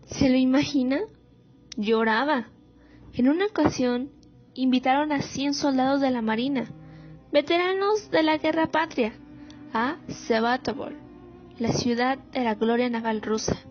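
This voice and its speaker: A woman's voice: sits high, a little slow. A woman playing a proud, wounded female war veteran recounting memories in a radio drama monologue. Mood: reflective, pained. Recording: muffled audio with music underneath.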